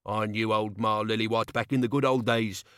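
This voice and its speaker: A man voicing a gruff, indignant old male locksmith with a rough working-class way of talking in an audiobook. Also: big pitch swings, normal speed, fairly bright tone, no echo, studio quality. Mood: defiant. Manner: indignant working-class grumble, rising pitch.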